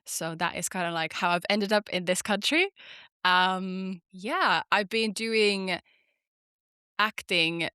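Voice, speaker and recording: A woman's voice: medium pitch, slow, clear, resonant tone, dry recording, studio quality. A young woman from Finland, a podcast guest and actor, chatting casually with lots of "like" fillers. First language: Finnish. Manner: chatty, many "like" fillers, lively storytelling. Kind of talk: casual interview chat.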